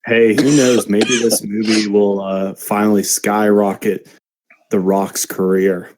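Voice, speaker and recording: A man with a fairly low voice co-hosts a podcast in casual chat. He drops quick jokes and teasing asides. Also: flat delivery, noisy background. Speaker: podcast co-host.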